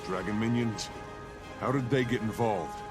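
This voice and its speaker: A man's voice: lowish voice, moderate pace, music in the background, natural voice. An actor, a man, playing an urgent, determined fantasy hero in a video game, earnest and direct. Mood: concerned.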